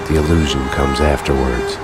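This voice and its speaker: A man's voice: rumbling low, steady tone, moderate pace. An actor playing a world-weary, fatalistic male detective who narrates a noir game in a grave, terse, brooding monologue. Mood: bitter, resigned. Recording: loud music bed behind.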